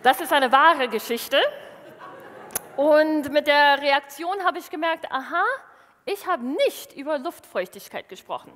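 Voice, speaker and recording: A woman in her thirties from Wisconsin, a native English speaker with an American accent, giving a lively, self-mocking stage talk in a large hall. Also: high voice, measured pace, noisy background. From Wisconsin, USA. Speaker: science slam speaker. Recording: reverberant hall.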